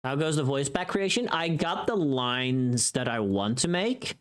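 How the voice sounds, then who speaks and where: A woman with a low voice talks casually on a livestream. Her intonation swings widely and freely. She comes across as playful and teasing, with a blunt, informal way of putting things. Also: animated, a little slow. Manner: playful, teasing, reacting to chat.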